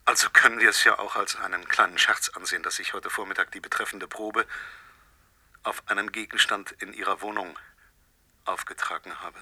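An actor playing a vengeful stranger in a radio play, speaking with measured, mock-polite menace and deliberate taunts. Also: male voice, lowish voice, steady tone, sharp tone, slight background noise. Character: vengeful male stranger, self-styled nobody, coldly menacing caller.

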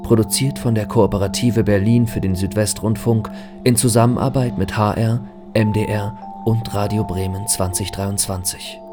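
A male announcer with a slightly low voice reads scripted credits for a series. Music plays clearly behind him. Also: fairly even intonation, moderate pace.

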